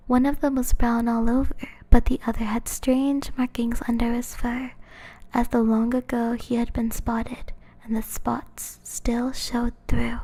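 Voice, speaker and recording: A woman streamer reading a children's story aloud in a soft, gentle whisper. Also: a little high.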